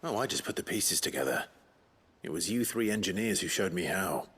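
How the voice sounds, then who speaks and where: Deep-voiced, kindly mentor. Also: male voice, lively intonation, husky, exaggerated voice. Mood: gently amused. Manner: measured, gentle, encouraging. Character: calm, kindly grown man, patient mentor to children. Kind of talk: video game dialogue acting.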